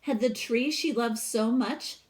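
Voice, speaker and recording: Slow-paced woman reading a storybook aloud. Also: fairly high pitch, a little hiss or hum. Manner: animated, pausing to ask listeners questions. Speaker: teacher reading a picture book aloud. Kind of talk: expressive storybook read-aloud with asides to children.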